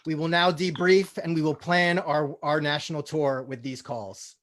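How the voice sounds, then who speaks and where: High-voiced man hosting a comedy table read. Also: fairly even intonation, moderate pace, a bit bright. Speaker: host and narrator of table read. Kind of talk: comedic hosting, mock-formal narration.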